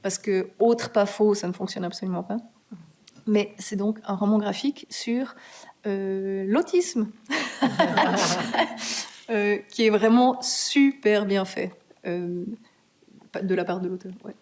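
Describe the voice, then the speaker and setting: A woman's voice: medium pitch, takes it slowly, long pauses, a little mellow. A woman, a guest author answering students' questions in a hesitant, casual way, full of fillers and restarts. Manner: hesitant, many fillers and restarts, laughs easily. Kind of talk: conversational Q&A answers. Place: university meeting room.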